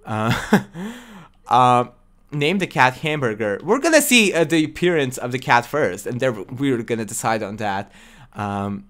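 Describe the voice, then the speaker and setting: Young man, non-native English speaker, animated pitch, chatty and excitable, gaming stream, desk mic. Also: a little slow. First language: not English. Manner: chatty, excitable, talks to chat viewers. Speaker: livestream host. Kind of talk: casual gaming livestream chat.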